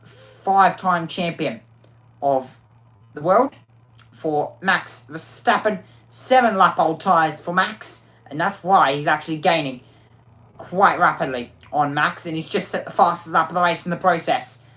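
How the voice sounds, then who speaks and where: A woman commentating a racing game live, excitable, often repeating and restarting phrases, her voice worn out. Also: fairly low pitch, unhurried.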